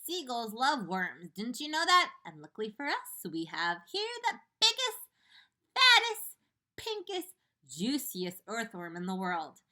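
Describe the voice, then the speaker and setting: Young boy hero, firm and confident, lively storybook voice, read by a woman. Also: a little high, a little slow, voice pushed up, exaggerated voice.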